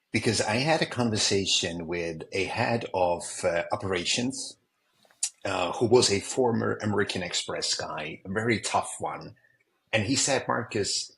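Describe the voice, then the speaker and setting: A man's voice: low voice, a bit bright, poor audio. A man from Switzerland, an executive coach, speaking earnestly and reflectively as a guest on a remote podcast interview. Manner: earnest, reflective, quotes others in dialogue. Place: remote call.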